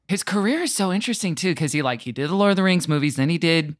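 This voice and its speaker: A man's voice: a little high, expressive, fast talker, no echo. Wry opinionated guy guesting on a podcast, casual chat, dry close sound.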